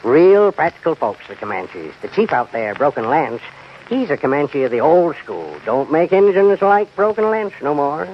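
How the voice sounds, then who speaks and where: A man's voice: on the high side, sing-song, moderate pace. A man playing a folksy, wry frontier lawman with a rustic drawl and a commanding way, in an old-time western radio drama. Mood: wry, menacing. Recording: dull, faintly noisy old radio recording.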